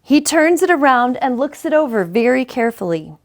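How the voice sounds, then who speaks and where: A woman reading a children's picture book aloud in a deliberate, measured way with dramatic pauses. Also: sits high, very animated. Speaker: story reader, school superintendent.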